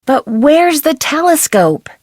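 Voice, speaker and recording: A young female sleuth in a video game, earnest and questioning. Her voice sits fairly high and moves freely up and down, closely and dryly recorded. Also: sing-song, takes it slowly, darkish tone, no echo, studio quality, exaggerated voice. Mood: puzzled. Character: inquisitive young female amateur detective. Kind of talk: natural video game dialogue acting.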